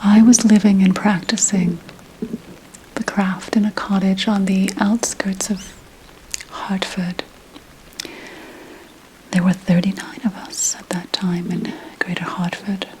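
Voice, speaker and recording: A woman playing a proud, secretive 17th-century witch healer, whispering slowly and formally in an ASMR roleplay. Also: mid-range voice, flat delivery, a little hiss or hum, lightly acted, poor audio. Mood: confiding. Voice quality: breathy unvoiced whisper. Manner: deliberate, hushed, archly formal phrasing.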